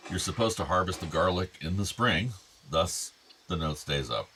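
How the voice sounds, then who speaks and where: An actor playing a gentle, affectionate older father with regrets, in a naturalistic radio drama. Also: low voice, expressive, normal speed, a little hiss or hum, heavily acted. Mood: calm, musing. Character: doting, regretful older father of two grown sons.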